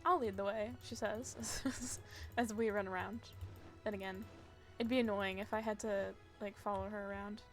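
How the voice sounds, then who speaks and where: A woman's voice: mid-range voice, fairly even intonation, moderate pace. A woman game streamer giving casual, chatty gameplay commentary with informal, rambling asides. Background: video game music.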